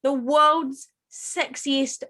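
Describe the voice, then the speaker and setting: A woman's voice: sits high, steady tone, drawn-out words, pushed and bright. A tired woman, chatting in a colloquial, wry way as a podcast co-host. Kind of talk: casual chat.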